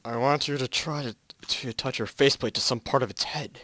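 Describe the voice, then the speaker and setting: A man playing a careful, urgent mission control officer in an amateur story reading, giving halting instructions. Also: medium pitch, fairly even intonation, fairly bright tone, dry recording, close to an everyday voice. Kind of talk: amateur group fan fiction reading.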